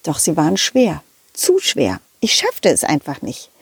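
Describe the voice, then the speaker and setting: A woman telling a children's story in a gentle, deliberate way, speaking to the young listeners. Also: low voice, huge pitch swings, creaky, breathy edge, dry recording. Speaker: puppeteer and storyteller.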